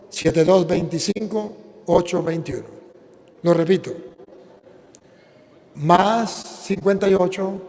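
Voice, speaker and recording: Venezuelan priest preaching in a reverberant hall. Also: male voice, high voice, a little mellow. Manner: preaching with slow, emphatic stresses, then plain appeal. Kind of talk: sermon and prayer.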